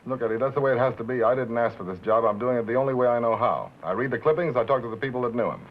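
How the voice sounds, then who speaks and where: A man's voice: medium pitch, racing, natural voice. A man playing a dogged, guarded American radio reporter in 1950s film drama style, terse and dryly understated. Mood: matter-of-fact. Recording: muffled, faintly noisy vintage film soundtrack.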